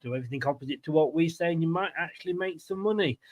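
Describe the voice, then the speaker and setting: High-pitched man hosting casually. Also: very fast, darkish tone. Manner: jokey, teasing, laughs easily. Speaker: host of a football fan channel show. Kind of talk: casual livestream chat.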